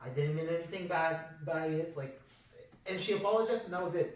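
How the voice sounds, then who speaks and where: A distant, very echoey recording of a young man from Mexico chatting casually. His voice sits fairly high for a man, and he tells stories animatedly, playing out both sides of each exchange. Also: fairly quick. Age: young adult. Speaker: podcast host. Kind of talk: casual storytelling chat. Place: echoey indoor room. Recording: echoey room, distant from mic.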